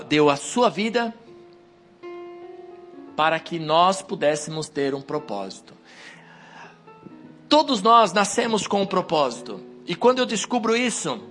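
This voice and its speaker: Hoarse guy preaching into a handheld mic, kinda high voice, soft music underneath. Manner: exhorting the congregation, rising to emphatic peaks. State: hoarse with a cold. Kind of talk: sermon. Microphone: wireless handheld mic.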